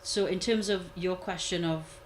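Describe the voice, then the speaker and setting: A woman commentator giving a measured analysis, repeating key points for emphasis. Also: lowish voice, fairly even intonation, a little hiss or hum, low-quality recording. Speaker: guest commentator. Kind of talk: analytical discussion.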